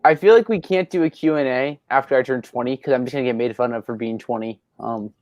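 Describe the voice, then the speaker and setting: Brief: young man, nineteen, upbeat Q&A host, dry close sound, mid pitch, laughs a lot. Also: fast talker, darkish tone, no room sound. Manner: upbeat, laughs often, teasing banter. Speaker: host of a Q&A livestream. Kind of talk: casual chat, reading viewer questions. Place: at his rural home.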